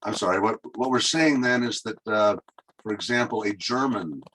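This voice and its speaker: A lower-pitched man interviews a guest, halting and curious, piecing his thoughts together with "uh" and "you know". Faint typing clicks sit behind him. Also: a bit bright, slight background noise, poor audio. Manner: halting, curious, full of fillers. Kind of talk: conversational interview.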